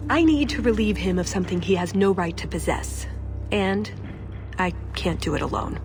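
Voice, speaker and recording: A woman voicing a self-assured, sly young elf thief in a fantasy video game, teasing and smooth. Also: on the low side, lively intonation, moderate pace, over music, natural voice. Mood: persuasive. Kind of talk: video game character dialogue.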